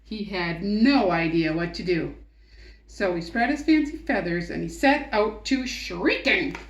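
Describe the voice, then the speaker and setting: A woman reading a picture book aloud to children in an expressive, coaxing way, in an echoey room. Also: medium pitch, unhurried. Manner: expressive, coaxing, asks the listener questions. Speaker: read-aloud teacher and storyteller. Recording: roomy echo.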